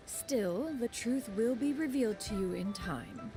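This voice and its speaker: A woman's voice: a little high, lively intonation, unhurried, over music. A woman voicing an aloof immortal who speaks in formal, old-fashioned third person, in a game cutscene. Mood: stately.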